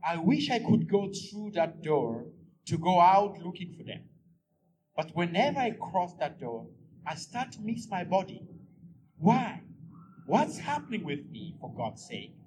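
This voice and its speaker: A man voicing a frustrated, lonely woman at home, with exasperated, scolding outbursts in a husky voice. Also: sits high, big pitch swings, unhurried, a little mellow, a little hiss or hum, poor audio.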